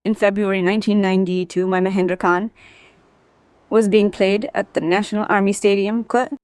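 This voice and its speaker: A woman from Bangladesh telling her life story as an interview guest, in an animated, emphatic manner. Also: medium pitch, brisk, clean tone, dry recording. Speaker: interview guest, former sprinter.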